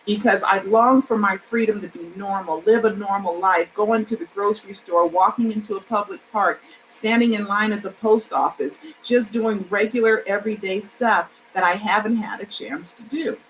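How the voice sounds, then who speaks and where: A woman reading the lines of a worried, longing male pop star, with slightly raised pitch. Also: steady tone, normal speed, hardly any pauses, a little hiss or hum, close to an everyday voice, rough recording. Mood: earnest.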